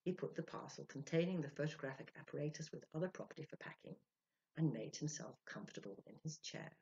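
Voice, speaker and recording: A woman in her sixties reading a story aloud as an expressive, theatrical storyteller. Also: deep voice, fast talker, vocal fry. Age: 60s. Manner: theatrical, acting out dialogue. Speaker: storyteller, reader. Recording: dull lo-fi home recording.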